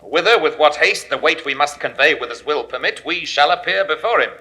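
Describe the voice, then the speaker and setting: Roman officer, fairly high voice, formal verse. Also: male voice, animated, brisk, bright, forceful tone. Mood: dutiful. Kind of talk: 1960s studio radio play acting.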